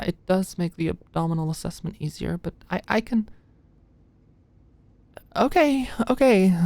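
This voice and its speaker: A low, unhurried young woman's voice , performed by an actress, plays an anxious medical student in an ASMR roleplay. She is shy and flustered, hesitating and stammering as she goes. The sound is dry and intimate. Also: vocal fry, darkish tone, no echo. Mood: flustered, hesitant. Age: young adult. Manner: stammering, hesitant, muttering asides under breath. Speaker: voice actor in audio roleplay.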